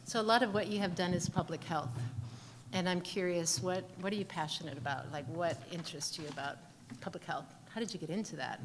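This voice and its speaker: A woman's voice: fairly low pitch, brisk. A woman interviewing a guest at a live evening event, asking curious, casual questions. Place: event hall. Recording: long hall reverb with background noise.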